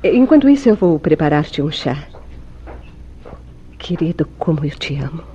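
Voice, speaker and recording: An actress playing a secretive young wife in an old-fashioned Brazilian radio soap, with emotive, formal diction and a Brazilian accent. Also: female voice, deep voice, big pitch swings, moderate pace, warm tone, lo-fi sound. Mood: tender. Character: secretive young wife, tender, anxious, sweetly deceitful.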